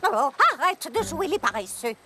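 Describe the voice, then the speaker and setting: A woman's voice: sits high, big pitch swings, moderate pace, very noisy. A woman voicing a spry, stubborn elderly farm pony grandmother, folksy and headstrong, in a French-dubbed children's cartoon. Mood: cheerfully bossy. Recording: music under the voice.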